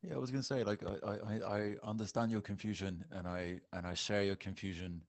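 Very deep-voiced Belgian man in his forties. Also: steady tone, fairly quick, gravelly, dry recording. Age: 40s. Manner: friendly and forthcoming, with frequent "uh" fillers. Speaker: guest. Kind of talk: casual interview talk.

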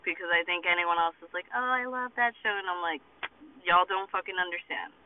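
A man's voice: sits high, fairly quick, slight background noise. A man hosting a podcast from his car, chatting casually and ranting animatedly, swearing freely. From Boston, United States. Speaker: podcast host. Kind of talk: casual chat. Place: parked car. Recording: tinny phone-like audio in a car.

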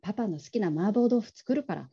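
A woman's voice: mid-range voice, lively intonation, quick pace, warm tone, breathy edge. A woman playing a young adult daughter who casually teases and wheedles her father in a radio drama. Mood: teasing, coaxing. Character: coaxing, teasing grown daughter pleading with her father. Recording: dull lo-fi audio.

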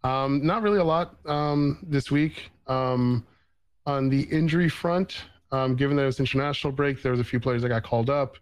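A man's voice: medium pitch, normal speed, clean tone, no room sound. A man hosting a casual sports podcast over an online call, rambling with many ums. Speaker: podcast host.

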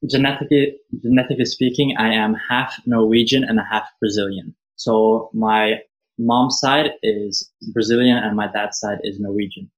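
Young man, 19, from Norway, lowish voice, earnest, thoughtful, interview guest. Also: steady tone, normal speed, rough recording. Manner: thoughtful, earnest, frequent fillers. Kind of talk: casual interview conversation.

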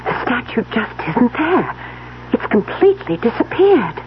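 A woman's voice: a little high, sing-song, a little slow. An actress playing a frightened, pleading young woman with urgent delivery in a radio drama. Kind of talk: 1970s American radio drama acting. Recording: muffled, noisy old radio recording.

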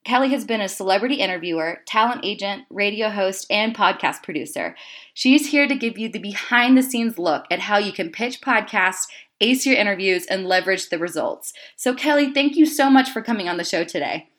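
A woman's voice: medium pitch, lively intonation, a little fast, fairly bright tone. A woman hosting her podcast, asking questions with friendly enthusiasm. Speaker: podcast host.

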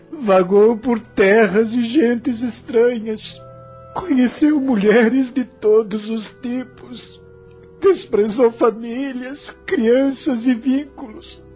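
Broken Brazilian vagrant in radio drama: slow, mellow, theatrical lament over music. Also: male voice, extremely high pitch, husky, exaggerated voice. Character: remorseful, broken male vagrant recalling his lost life.

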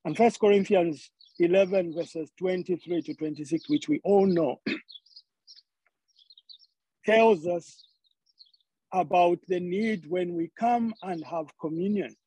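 High-voiced man preaching. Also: mellow, breathy edge, rough recording. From Zambia. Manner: halting, repeats words as he searches for phrasing. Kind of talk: sermon.

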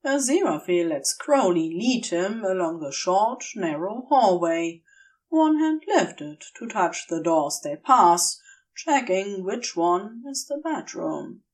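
A woman's voice: mid-range voice, sing-song, takes it slowly, recorded from a distance. A woman narrating a scripted piece of fiction as an audiobook-style reader. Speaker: podfic narrator.

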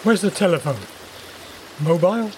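A woman's voice: lowish voice, expressive, moderate pace, a little mellow, slight background noise, natural voice, poor audio. A woman reading a story, voicing a nervous, thick-set male intruder with a terse, hesitant manner. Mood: menacing, nervous.

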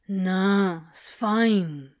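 A woman's voice: mid-range voice, extremely slow. A woman voicing a guarded, laconic male demon in an audiobook story, with a clipped, mumbling, offhand drawl. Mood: evasive.

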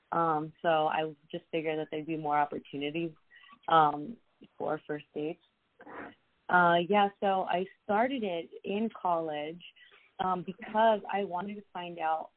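Young woman on a tinny phone line. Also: fairly low pitch. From Los Angeles, USA. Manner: chatty, many fillers and restarts. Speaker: podcast guest, social media creator. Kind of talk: casual interview chat.

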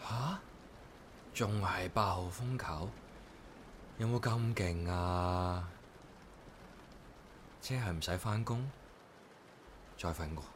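A man's voice: low-pitched, slow pace, long pauses, noisy background. An actor playing an easygoing man who lives alone, speaking in a casual, wry manner in a radio drama. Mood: lazy, grumbling. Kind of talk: Cantonese radio drama acting and narration.

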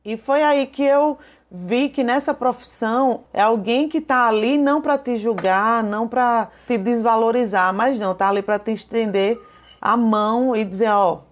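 A businesswoman, telling warm personal stories in an interview. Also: on the high side, moderate pace. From João Pessoa, Paraíba, Brazil. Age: 30s. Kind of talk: interview testimony.

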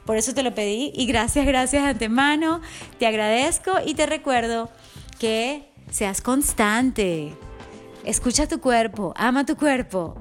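A woman's voice: fairly high pitch, measured pace, faint music behind. A middle-aged woman hosting a wellness podcast, giving an enthusiastic, affectionate, chatty motivational monologue. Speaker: wellness coach podcast host.

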